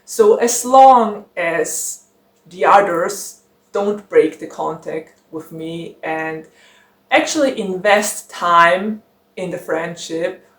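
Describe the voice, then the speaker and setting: Young woman chatting slow and giggly, lots of ums, roomy echo. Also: on the low side, animated. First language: German. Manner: chatty, giggly, rambling with many fillers. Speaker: book channel host. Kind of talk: casual chat to camera.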